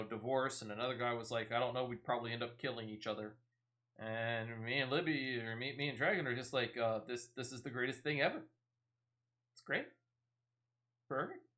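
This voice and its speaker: A man with a bright, forceful voice at an average pitch streams a video game. He talks in a casual, conversational way, rambling from one thought to the next with a playful streak. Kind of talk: casual gameplay commentary with chat.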